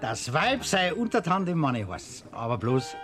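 A smug huntsman who teases and sneers. His voice is high and swoops up and down. He sounds off-mic, with music behind him. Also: big pitch swings, measured pace. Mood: sneering. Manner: sneering, jovial. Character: mocking huntsman, smug and teasing. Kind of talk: classic radio play acting.

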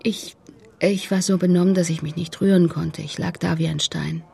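Very husky, breathy grieving mother. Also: lowish voice, fairly even intonation, warm tone, exaggerated voice. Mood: subdued, halting. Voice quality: very husky, breathy, whispery, creaky. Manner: halting, hesitant. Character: grieving, guarded mother, middle-aged, haltingly recounting. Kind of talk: radio play acting.

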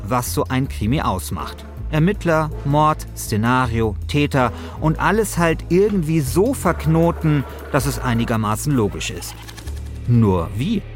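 A man speaks as a podcast host in a small studio. His voice sits at a middle pitch, shifting between written narration and casual talk, with soft music underneath. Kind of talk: scripted narration mixed with casual chat. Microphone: studio microphone.

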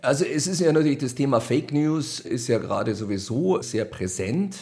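A man's voice: medium pitch, expressive, normal speed, darkish tone. A man with a Bavarian accent answering interview questions in a wry, colloquial, conversational way. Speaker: radio play author and director being interviewed.

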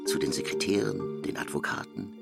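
An actor as a grave narrator, reading scripted literary narration in an audio drama. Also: male voice, very deep voice, brisk, gravelly, loud music behind the voice, exaggerated voice. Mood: grave, measured.

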